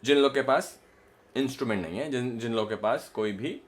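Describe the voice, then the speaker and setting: A young man teaching voice modulation in a casual spoken lesson, explaining exercises patiently and demonstrating them himself. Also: mid-range voice. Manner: slow, explanatory, repeats a line on rising notes. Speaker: voice coach.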